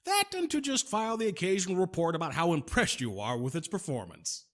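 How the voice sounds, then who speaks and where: A man playing a smooth-talking, nervously polite machinery salesman in an audio drama, flattering and a little hesitant. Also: high voice, fairly quick. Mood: eager pitch.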